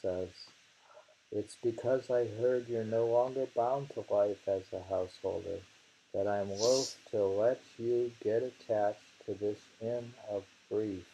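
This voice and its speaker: A man reading translated poetry aloud in a deliberate way and commenting on it casually, on a dull recording. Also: on the low side, fairly even intonation, dark tone. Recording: dull lo-fi home recording with hiss.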